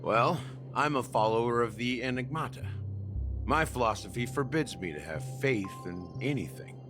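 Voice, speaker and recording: A man's voice: medium pitch, lively intonation, normal speed, over music, lightly acted. An actor playing a sardonic, world-weary middle-aged man in a game, with a wry, laconic delivery. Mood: wry, resigned.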